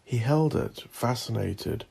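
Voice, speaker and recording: A man narrating dramatic horror fiction as the host of an audio drama, in an ominous, theatrical and deliberate manner. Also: medium pitch, lively intonation, creaky, darkish tone, slightly rough.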